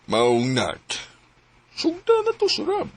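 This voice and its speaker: An actor playing a stern middle-aged father, speaking slowly and lecturing in a radio drama. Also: extremely high pitch, huge pitch swings, heavily acted. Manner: slow, lecturing. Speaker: Ana's father.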